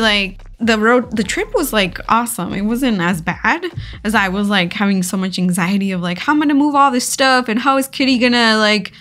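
A woman's voice: fairly high pitch, sharp tone. A woman narrating her road trip vlog in a casual, chatty way, giggling often and filling her talk with "like". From California. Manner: chatty, giggly, many "like" fillers. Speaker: vlogger.

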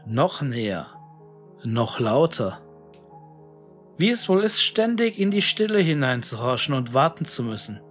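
A man reads a first-person horror tale at a slow, measured pace. His voice sits a little high for a male narrator. He is brooding and deliberate, leaving dramatic pauses, and music plays underneath. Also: fairly bright tone. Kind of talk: scripted first-person creepypasta narration, musing monologue.

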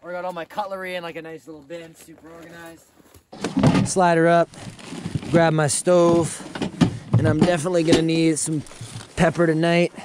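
A man's voice: fairly high pitch, little pitch movement, moderate pace, pauses often. A young man vlogging casually while he cooks outdoors beside his truck, upbeat and chatty, laughing often and talking to his viewers, recorded on the camera mic. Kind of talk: casual vlog while cooking. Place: outdoors in a snowy parking lot by a pickup truck. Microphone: camera mic, handheld and head-mounted point of view. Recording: noisy outdoor camera audio.

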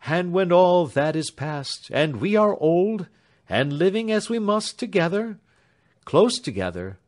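A man's voice: high-pitched, big pitch swings, measured pace, voice pushed up. A man voicing an earnest, fervent young suitor. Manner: fervent, heightened, tender. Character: earnest young man, loving, taking his leave.

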